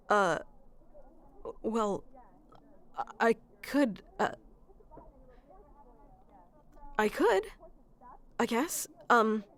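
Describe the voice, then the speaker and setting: A woman's voice: on the high side, a little hiss or hum. A woman playing a shy, sweet, lovestruck florist in a romantic ASMR roleplay, speaking hesitantly with nervous stammers.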